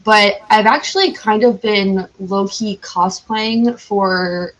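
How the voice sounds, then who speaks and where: A woman from the United States speaks at a medium pitch as a podcast guest. Her talk is casual and rambling, and she often corrects herself mid-thought. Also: slow, a bit bright, poor audio. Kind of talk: casual chat.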